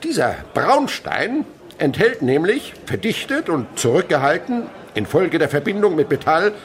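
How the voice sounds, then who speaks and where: An actor voicing an excitable, pompous chemistry teacher in a radio play, declaiming every phrase emphatically. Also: male voice, fairly high pitch, unhurried, pitched above the performer's own voice. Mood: pompous, commanding. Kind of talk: German radio play acting.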